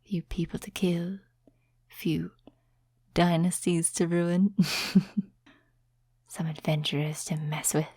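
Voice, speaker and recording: A woman voicing a sly, seductive demon in a soft-spoken ASMR roleplay, mostly whispering in a breathy, teasing, condescending way. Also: fairly low pitch, steady tone, dry recording, clean studio sound, full character voice. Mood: gloating, soothing. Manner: slow, deliberate, teasing, condescending to mortals. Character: sly, seductive female demon tempting a mortal summoner.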